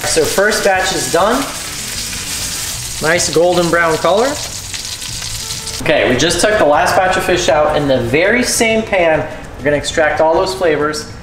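A man's voice: a little high, animated, measured pace, long pauses, echoey room, rough recording. Young man explaining step by step. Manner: instructive, step-by-step. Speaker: fishing channel host. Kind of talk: casual how-to narration. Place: home kitchen and boat deck.

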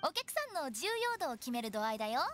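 An actress playing a playful, teasing young funeral director in an anime game, with theatrical, sing-song sales talk. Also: female voice, a little high, big pitch swings, quick pace. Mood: persuasive. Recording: clean studio voice over game music.

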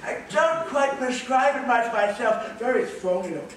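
An actor playing an over-polite, evasive doctor in an amateur stage comedy, halting and repeating words, recorded from far off in an echoey theatre. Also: medium pitch, animated, background noise, natural voice. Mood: nervous, affable.

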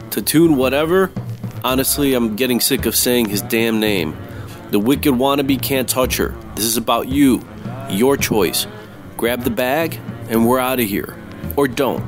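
Low-voiced hardboiled detective played by a man. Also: close to an everyday voice. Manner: deadpan, sardonic. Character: wisecracking hardboiled male 1940s private detective, world-weary. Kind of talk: noir first-person radio narration.

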